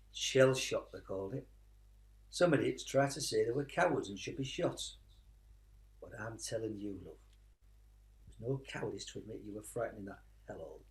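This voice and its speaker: An actor playing a weary, tender British father who fought in the trenches, speaking reflectively in a radio play with dramatic pauses. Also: lowish voice.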